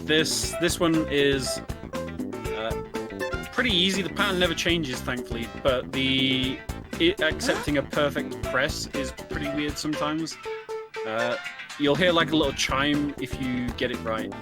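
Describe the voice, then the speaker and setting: A man's voice: on the high side, unhurried. A man co-commentating a speedrun, explaining the game casually and piecing his sentences together hesitantly. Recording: loud game music over voice. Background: loud video game music with guitar.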